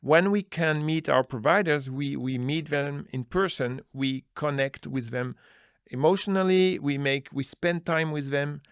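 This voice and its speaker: Earnest man with a gravelly voice. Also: fairly high pitch, unhurried, no room sound, studio quality. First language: Dutch. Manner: earnest, searching for words, many restarts. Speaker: business manager, interview guest. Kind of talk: conversational interview answers.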